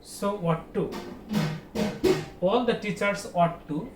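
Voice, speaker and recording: A man's voice: sits high, slow pace, over loud music. A male school teacher with a Bangladeshi accent gives an online grammar lesson in a didactic, repetitive way, asking questions and answering them himself. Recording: roomy echo, distant mic, faint noise.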